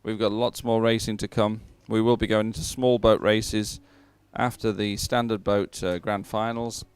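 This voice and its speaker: A man working as a live sports commentator and presenter, announcing results and schedules in an unscripted, halting way. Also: fairly low pitch, level tone, clear, resonant tone, no echo. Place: open-air commentary position.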